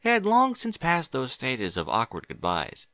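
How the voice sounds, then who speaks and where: A man narrating a fan fiction audiobook in a steady storytelling manner. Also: medium pitch, moderate pace, dry recording.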